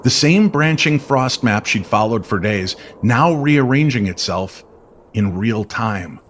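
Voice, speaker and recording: A man narrating an eerie story in old-time radio style, with dramatic, deliberate, suspenseful phrasing. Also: medium pitch, expressive, fairly bright tone, slight background noise.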